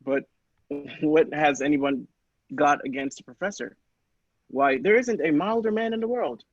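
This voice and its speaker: A dull, slightly muffled recording of an earnest young gentleman, acted by a man in a radio play. His voice is on the high side, polite and a touch halting. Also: dry recording. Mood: incredulous. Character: polite, earnest young male scientist's assistant.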